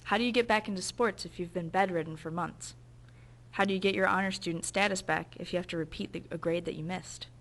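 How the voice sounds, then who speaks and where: Female documentary narrator: earnest, measured scripted read, lowish pitch. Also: steady tone.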